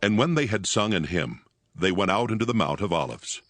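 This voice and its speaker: A man's voice: low voice, animated, fairly quick, a bit bright. A man giving a scripted narration of scripture in archaic English. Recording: voice over prominent music.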